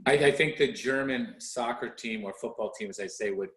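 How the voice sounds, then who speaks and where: A man's voice: on the low side, brisk, a bit bright, reverberant. A man hosting a podcast interview and chatting enthusiastically with his guests. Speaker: podcast host and coach. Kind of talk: casual interview chat.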